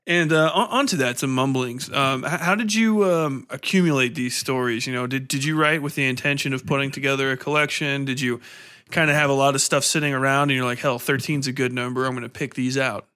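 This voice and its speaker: Bright-voiced American man, chatty podcast host. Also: medium pitch, steady tone, fairly quick. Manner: informal, chatty, rambling. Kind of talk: casual chat.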